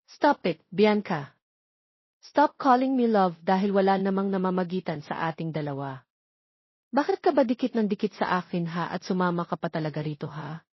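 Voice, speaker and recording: A woman's voice: fairly low pitch, expressive, moderate pace, husky, no room sound, dull audio. A woman voicing an angry, threatening young man in an audio story, scolding with a menacing manner. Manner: menacing, scolding, mixes English into speech.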